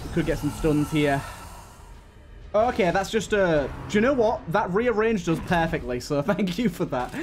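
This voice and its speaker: A man's voice: sits high, darkish tone. A man giving casual video game commentary, thinking aloud and often repeating himself. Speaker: let's play host. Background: game music.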